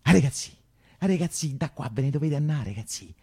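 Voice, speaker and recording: A man's voice: a little high, expressive, brisk, mellow, slightly rough. Man playing an anxious woman, pleading and insistent. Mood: urgent pleading. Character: anxious gentle woman in raincoat warning boys away. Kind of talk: solo radio theatre monologue.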